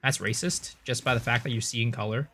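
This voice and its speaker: A man's voice: mid-range voice, a little hiss or hum. A young man whose first language is Cantonese, chatting casually with his viewers while he plays a game on stream. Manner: chatty, relaxed, answers chat with quick asides.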